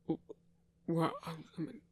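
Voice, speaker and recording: A performer playing a gentle, awkward male werewolf hunter in an intimate audio roleplay, speaking haltingly and apologetically with earnest remorse. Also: no room sound, close to an everyday voice. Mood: remorseful. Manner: hesitant, halting, apologetic, stammering.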